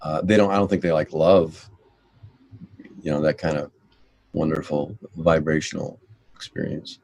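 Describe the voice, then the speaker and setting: A man in casual conversation, telling a story with jokes and drawn-out exclamations. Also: extremely low pitch, flat delivery, gravelly, a little mellow, dry recording.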